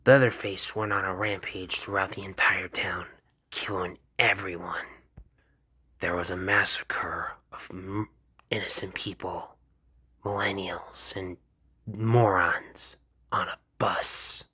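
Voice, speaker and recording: A man voicing a cold, commanding woman boss, speaking in a slow, hushed, breathy whisper for a fan-made cartoon. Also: fairly low pitch, no room sound. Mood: calm, ominous. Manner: slow, calm, ominous.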